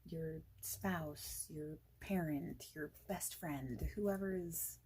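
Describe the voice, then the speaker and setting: A woman speaking softly and breathily, often near a whisper, in an informal, reassuring ASMR boss roleplay. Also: deep voice, takes it slowly, rough recording. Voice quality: soft, breathy, often dropping toward a whisper. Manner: informal, reassuring, rambling chat. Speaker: ASMR creator playing a friendly boss in a job interview roleplay.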